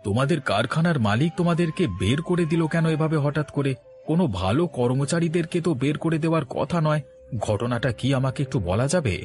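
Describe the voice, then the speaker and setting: A man's voice: fairly high pitch, expressive, fast talker, a little mellow, soft background music, natural voice. A man voicing a kind, honest shopkeeper and father in a moral-story cartoon, speaking in a welcoming, earnest way. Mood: earnest, instructive.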